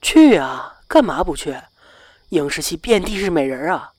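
A woman's voice: on the low side, very animated, moderate pace, a little mellow, slight background noise. A woman playing a cheeky, cocky young male college roommate in an audio drama, casual in delivery. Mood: eager. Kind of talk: Chinese online audio drama acting.

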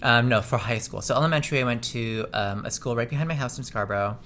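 A man's voice: medium pitch, quick pace, fairly bright tone, a little hiss or hum. A man from Toronto with a Canadian accent, an interview guest casually recounting his personal history. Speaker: interview guest, fashion designer.

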